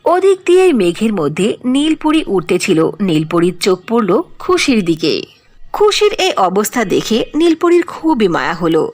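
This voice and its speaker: Female narrator, mid-pitched, very lively intonation, scripted children's cartoon storytelling. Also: moderate pace.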